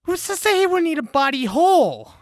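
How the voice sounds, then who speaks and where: A woman's voice: very high voice, very animated, fairly quick, no echo, clean studio sound. A woman playing an anxious, earnest deputy, ready for trouble, in a post-apocalyptic radio drama. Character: anxious, trigger-ready deputy named Carter.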